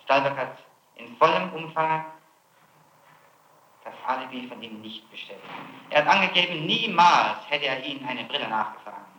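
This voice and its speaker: A man of average pitch questions a suspect in a formal, confronting way. He probes insistently like a prosecutor. The archival tape is echoey, with plenty of noise over it. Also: expressive, normal speed, pushed and bright. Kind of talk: formal interrogation, reading out statements.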